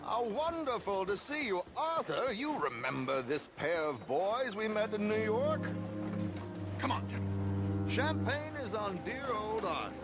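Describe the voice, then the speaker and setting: A man playing a flamboyant, cultured, scheming gentleman con man in a video game cutscene, speaking with theatrical, florid charm and breezy confidence. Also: high voice, animated, unhurried, music in the background. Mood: effusive, showy.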